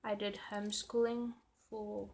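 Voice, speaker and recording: Slow chatty woman. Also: medium pitch, level tone, gravelly, darkish tone. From South Africa. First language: Afrikaans. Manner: chatty, self-deprecating, muttering while working. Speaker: planner vlogger. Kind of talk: rambling chat while planning. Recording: faint background noise.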